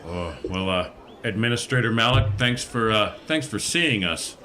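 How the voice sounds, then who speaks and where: A man playing a tabletop role-playing game, haltingly thinking aloud as he slips in and out of character. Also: on the low side, lively intonation, bright, forceful tone, slight background noise. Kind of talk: casual in-character role-play and table talk.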